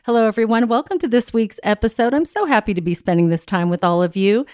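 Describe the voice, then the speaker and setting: A woman's voice: on the high side, quick pace, darkish tone, dry recording, clean studio sound. Upbeat woman hosting a podcast. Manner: upbeat, encouraging. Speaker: podcast host. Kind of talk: friendly interview chat.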